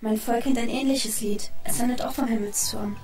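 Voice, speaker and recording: A woman's voice: a little high, steady tone, normal speed, close to an everyday voice. Solemn, echoing elven mother, played by a woman. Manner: solemn, formal recitation. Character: solemn elven mother, leader of her people. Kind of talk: fantasy audio drama acting. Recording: echoey, distant, music underneath.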